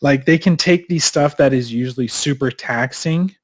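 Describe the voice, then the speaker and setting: A man with a stuffed-up nose talks loosely as a podcast co-host, circling his point with fillers and restarts. His voice sits at an ordinary middle pitch for a man. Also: normal speed. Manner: rambling, many fillers and restarts. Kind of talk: casual chat.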